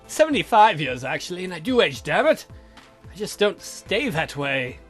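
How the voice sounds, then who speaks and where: A man's voice: sits high, big pitch swings, moderate pace, fairly bright tone, faint music behind, exaggerated voice. A man voicing a grumpy, defensive old vampire count from a visual novel, indignant, dry and given to swearing. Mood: dry, matter-of-fact.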